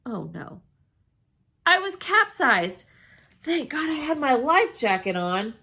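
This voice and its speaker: Female storybook reader, slightly high pitch, swooping expressive delivery, dramatic emphasis for young listeners. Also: takes it slowly. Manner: dramatic, with emphatic exclamations and hushed suspense. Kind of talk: expressive children's read-aloud narration.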